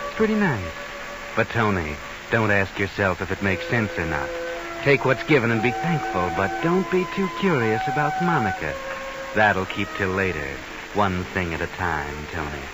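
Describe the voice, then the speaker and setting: Low, sinister narrator over loud music. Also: male voice, sing-song, a little slow, rough recording. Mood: insinuating. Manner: insinuating, knowing. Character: sinister, taunting male narrator of crime tales. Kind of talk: 1940s radio mystery narration in second person. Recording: old radio recording, loud music.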